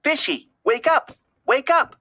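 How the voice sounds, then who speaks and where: A woman voicing an excitable, bratty little girl in a storybook, shrill and demanding. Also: measured pace, heavily acted.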